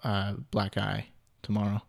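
A young man with a slightly low voice trades teasing banter on a casual podcast. The sound is dry and close, with no room to speak of. He sometimes stretches out his words for mock-serious emphasis. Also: monotone, unhurried, creaky, no echo. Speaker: podcast co-host. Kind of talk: casual chat.

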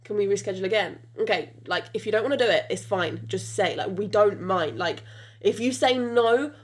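Young British-accented woman, French native, podcast host; loose rambling delivery, mid pitch. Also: brisk. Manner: rambling, many fillers and restarts. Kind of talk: casual podcast chat.

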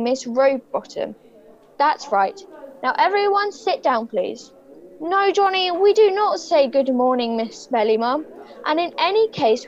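A woman plays a fussy, patronizing schoolteacher trying to keep order in her class. Her high voice swoops up and down as she corrects the pupils. The audio sounds dull and muffled. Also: exaggerated voice. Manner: prim, patronizing, swooping intonation. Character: prim, fussy schoolteacher facing an unruly class. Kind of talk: comic stage monologue.

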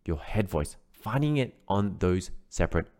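A man's voice: low-pitched, expressive, moderate pace, darkish tone. A man teaching an online singing lesson, explaining steps in an encouraging way and often checking in with "right?". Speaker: vocal coach.

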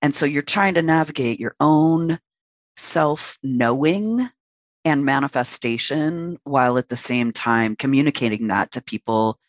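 A man's voice: mid-range voice, a little slow, dry recording, clean studio sound. A transmasculine man in his late forties, a podcast guest speaking frankly and reflectively at length with fillers. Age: late 40s. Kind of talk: candid interview conversation.

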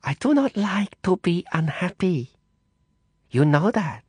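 A man putting on a rasping, spiteful old madame. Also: sits high, sing-song, no echo, pitched above the performer's own voice, heavily acted. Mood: reproachful. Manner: reproachful, slow, possessive. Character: imperious older woman, chain-smoker, jealous and spiteful.

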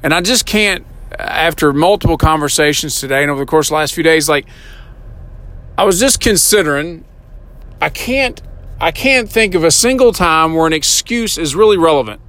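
Middle-aged male podcast host, a little hoarse, earnest motivational delivery, pitch on the higher side. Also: lively intonation, normal speed, fairly bright tone. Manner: earnest, repeats words and asks "right?". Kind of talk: solo motivational podcast talk.

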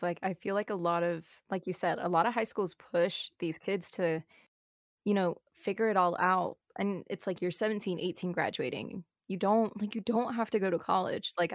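A woman's voice: fairly low pitch, no room sound, studio quality. A young woman in her early twenties, a streamer talking casually and earnestly with many fillers. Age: young adult. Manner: fast, filler-heavy, earnest talk. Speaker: guest streamer. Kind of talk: casual chat.

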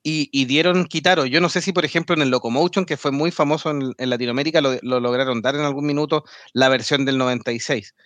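A man's voice: medium pitch, quick pace, hardly any pauses, a bit bright, dry recording. Chatty man, dry close sound. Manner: chatty, laughs often, trails off into restarts. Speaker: podcast co-host. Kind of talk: casual anime talk with co-hosts.

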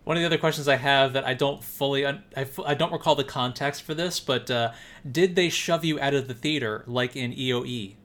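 A man's voice: medium pitch, fairly quick, a bit bright. A man hosting a casual podcast, asking questions with hesitant fillers. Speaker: podcast host.

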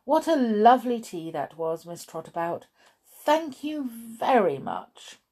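Woman playing a gentle, avuncular little gentleman. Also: a little high, big pitch swings, slow, voice pushed up, heavily acted. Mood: resigned, polite. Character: kindly little man, gentle, avuncular storybook helper.

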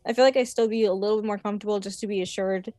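A woman's voice: medium pitch, fairly even intonation, very fast, mellow, no echo. A young woman chatting casually as a podcast guest, rambling with lots of "like" and "um" and laughing often. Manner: chatty, many fillers and laughs. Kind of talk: casual chat.

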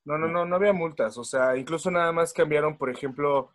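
A man's voice: fairly high pitch, brisk. A man with a Mexico City accent chatting casually, slangy and sweary, often repeating words as he goes. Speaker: podcast panelist. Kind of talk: casual chat.

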